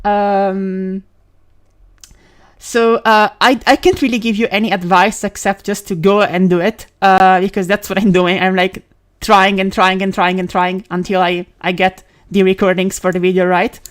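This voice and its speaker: A woman's voice: mid-range voice, flat delivery. Woman chatting on a game stream. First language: Italian. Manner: rambling, with many fillers, restarts and quick laughs. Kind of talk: casual live-stream chat while gaming.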